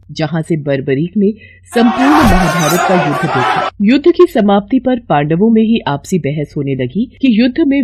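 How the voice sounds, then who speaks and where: A woman's voice: medium pitch, darkish tone, husky. A woman narrator reading a scripted devotional story in a storytelling style.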